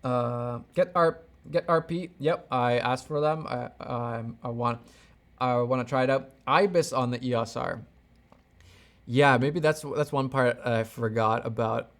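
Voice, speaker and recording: A man's voice: mid-range voice, measured pace. A man hosting a casual livestream as a camera gear YouTuber, rambling and thinking aloud as he gives opinions and answers viewers. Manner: off-the-cuff, rambling, thinking aloud, backtracking mid-thought.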